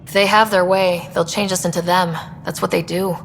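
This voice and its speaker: A gravelly-edged female Pathfinder. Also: on the low side, little pitch movement, a little fast, slight background noise, poor audio. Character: female Pathfinder, commanding expedition leader.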